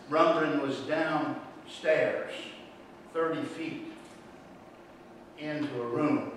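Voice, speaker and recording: A middle-aged man preaching a sermon in a church sanctuary, telling a story and swinging between hushed and loud delivery. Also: a little high, a little hiss or hum. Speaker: pastor. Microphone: room microphone, far from camera. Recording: roomy echo, distant mic.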